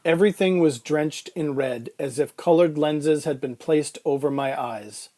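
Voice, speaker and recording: A man acting out an uneasy patient in an audio drama, brooding over his own surreal ordeal. His voice sits a little high but stays soft-edged and mellow. He narrates deliberately, in the first person. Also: slight background noise, lightly acted.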